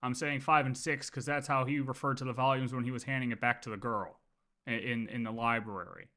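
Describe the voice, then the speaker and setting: A man's voice: mid-range voice, fairly even intonation, fairly quick, bright, forceful tone. A man giving casual live commentary as a game streamer, thinking aloud with emphatic points. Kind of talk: casual live playthrough commentary with lore theorizing.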